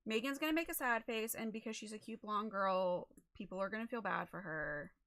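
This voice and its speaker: Slightly raspy, gravelly chatty woman. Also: mid-range voice, brisk, a bit bright. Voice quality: a little raspy. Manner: chatty and rambling, with many fillers. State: losing her voice. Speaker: livestreamer. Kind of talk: casual chat while setting up a stream. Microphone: desk microphone.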